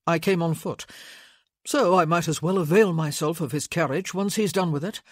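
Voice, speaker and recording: A woman as a restrained, husky young gentleman rake. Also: lowish voice, moderate pace, no echo, clean studio sound, lightly acted. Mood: casual. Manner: murmuring, gasping, restrained. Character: young English gentleman rake, wry, devoted friend. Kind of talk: dramatic audiobook character acting.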